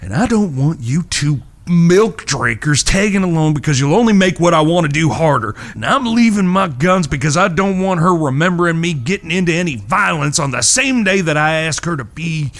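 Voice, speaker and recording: Man acting a high-strung, exasperated cowboy. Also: expressive, moderate pace, a bit bright. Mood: firm, impatient. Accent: American. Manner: irritable, folksy frontier talk. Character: gruff, exasperated cowboy brother, flustered and foul-mouthed. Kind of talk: Western audio drama acting.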